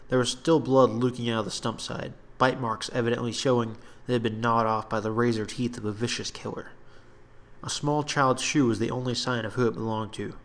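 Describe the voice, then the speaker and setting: A man reading his own fantasy story aloud in an expressive, dramatic narration. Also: medium pitch. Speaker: author reading own book.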